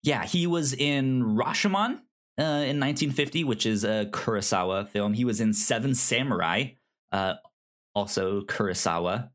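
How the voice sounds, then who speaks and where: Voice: medium pitch, normal speed. A podcast co-host casually discussing film, often stammering and repeating words and sounds.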